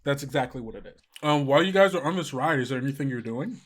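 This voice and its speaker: A man's voice: mid-range voice, lively intonation, quick pace. A man narrating as game master in a casual way, with many fillers.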